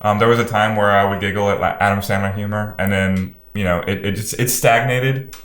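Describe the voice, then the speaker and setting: A man from Louisiana with a deep voice co-hosts a casual movie podcast. The recording has a noticeable room echo around him. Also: steady tone, fairly quick, a bit bright. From Louisiana, USA. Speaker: podcast co-host. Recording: roomy, echoing podcast recording.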